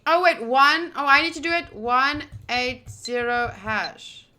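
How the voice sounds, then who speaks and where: A woman doing casual playthrough commentary of a visual novel, reading game text aloud and thinking out loud with excitable energy. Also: sits high, takes it slowly, faint music behind. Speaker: streamer playing a visual novel.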